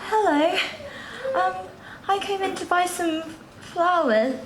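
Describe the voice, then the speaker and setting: A woman's voice: very high-pitched, slow. A woman playing a sweet, polite, earnest young heroine in a pantomime on stage. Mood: admiring. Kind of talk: British pantomime stage acting. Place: theatre stage before an audience. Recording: echoey, very noisy theatre stage recording.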